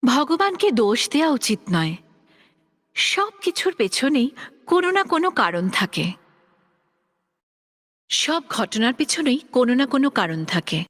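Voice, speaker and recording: A woman narrating children's fables as a scripted storyteller, expressive and deliberate. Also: high voice.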